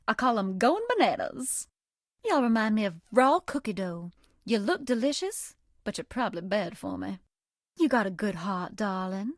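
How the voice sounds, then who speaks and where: A sugary, high-voiced Southern belle. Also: female voice, no room sound, clean studio sound. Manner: coy, sugary, folksy. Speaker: voice actress. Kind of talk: video game character voice lines.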